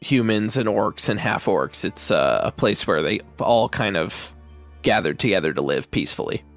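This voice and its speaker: A man's voice: on the low side, lively intonation, moderate pace, soft background music. A man playing a tabletop game, explaining his character's backstory casually, with a lot of fillers. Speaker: player.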